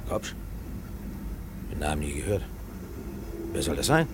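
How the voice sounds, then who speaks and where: A man's voice: rumbling low, big pitch swings, measured pace, heavily acted. A man playing an evasive works director in a 1950s radio play, speaking in clipped, hesitant phrases. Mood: brusque, evasive. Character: evasive, brusque male coal company works director. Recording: faintly noisy 1950s radio recording.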